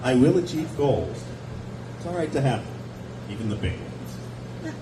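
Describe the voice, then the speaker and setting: A man's voice: medium pitch, expressive, measured pace. A man in his forties doing a stand-up set at a nightclub on a handheld mic, sardonic and chatty with the crowd. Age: 40s. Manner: sardonic, wry asides, chatty with the audience. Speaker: stand-up comedian. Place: nightclub stage, indoors. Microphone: handheld stage mic. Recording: echoey club recorded from a distance, with background noise.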